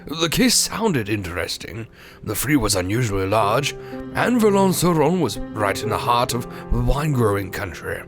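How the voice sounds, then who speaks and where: An actor playing a genial, easily flustered British doctor who narrates the tale. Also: male voice, medium pitch, very animated, normal speed, over music. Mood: storytelling. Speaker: Dr. Watson. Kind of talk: old-time radio drama narration.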